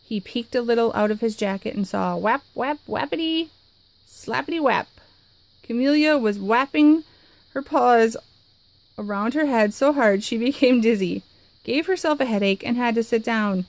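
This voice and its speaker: A woman reading a children's picture book aloud in an expressive, lively way. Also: a little high, dry recording. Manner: expressive, lively, dramatic pauses. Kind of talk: storybook read-aloud.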